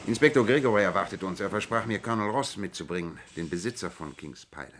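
A man playing an assured, analytical detective in a German radio play, speaking in a measured, expository way. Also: fairly low pitch, animated, fairly bright tone, slight background noise. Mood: reasoning, assured.

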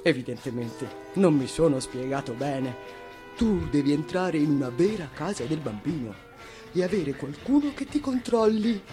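A woman's voice: sits low, very animated, moderate pace, music in the background. A woman voicing a stuffy grown-up policeman in a storybook, condescending, officious and lecturing. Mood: patronising. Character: stuffy, patronising adult policeman, insistent and exasperated.

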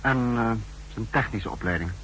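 An actor playing an authoritative, skeptical head of a secret service in a 1960s radio drama, speaking in a measured way. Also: male voice, medium pitch, fairly bright tone, slight background noise, natural voice, low-quality recording. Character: authoritative, skeptical male spy chief called Protector. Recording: faintly humming 1960s radio recording.

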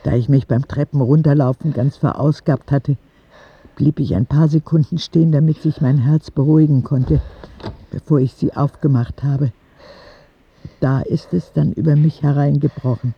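A man's voice: a little high, steady tone, moderate pace, gravelly, husky, lo-fi sound. A man playing a frank, cheeky ten-year-old boy who tells his story in candid, wry audio drama narration, in standard German. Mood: hushed, shaken.

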